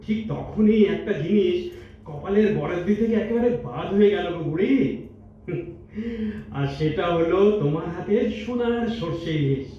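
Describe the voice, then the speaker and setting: A man's voice: high voice, animated, moderate pace. A man playing an affectionate, teasing old husband in a stage audio drama, folksy and mildly jealous. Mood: wistful teasing. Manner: teasing, folksy, tags questions with a drawn-in "hyan". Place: multipurpose hall stage. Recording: distant echoey hall, dull, faint noise, music.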